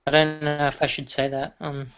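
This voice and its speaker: A man's voice: fairly high pitch, monotone, moderate pace, a bit bright. A man from Queensland with an Australian accent, chatting on a call, hesitant and laughing. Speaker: guest caller. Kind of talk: casual chat. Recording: lo-fi call audio with faint noise.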